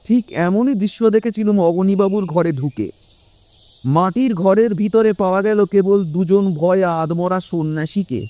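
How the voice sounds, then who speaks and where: A man's voice: sits high, a little slow, warm tone. A man playing a frightened witness who recounts a horrifying night in a suspenseful audio drama monologue. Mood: shaken. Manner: vivid, suspenseful storytelling. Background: music.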